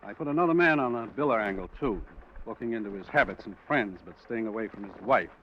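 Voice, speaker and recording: A man playing a brisk, confident, hard-boiled police lieutenant, clipped and commanding in vintage radio drama style. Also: fairly low pitch, lively intonation, natural voice. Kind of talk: 1950s radio drama acting. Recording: dull vintage radio recording, faint noise.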